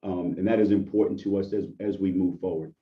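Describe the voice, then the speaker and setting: A hoarse man, a deputy police chief, giving an earnest presentation on a video call. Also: low voice, fairly even intonation, fairly quick. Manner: earnest, appreciative, restarts often. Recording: distant muffled video call audio.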